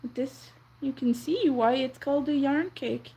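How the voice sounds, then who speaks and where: A young woman whose first language is French, chatting softly and rambling on a crochet livestream. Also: high-pitched, a little slow, rough recording. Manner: soft-spoken, rambling, counts under her breath. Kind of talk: casual chat while crocheting.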